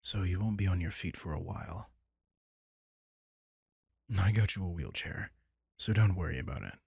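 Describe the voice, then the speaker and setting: A man playing a caring, wry vampire king in an intimate comfort roleplay audio drama, talking casually and softly with dry teasing. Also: low-pitched, lively intonation, normal speed, creaky, breathy edge, no room sound, full character voice. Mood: matter-of-fact, informative.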